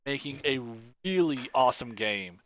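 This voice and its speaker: Male podcast host, animated, bright tone, cusses casually. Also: medium pitch, moderate pace, dull audio. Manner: animated, swears freely.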